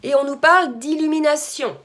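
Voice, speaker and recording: A hoarse woman giving a spiritual card reading to her viewers, soft-spoken, sometimes murmuring requests to her guides. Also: high voice, normal speed. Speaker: tarot card reader.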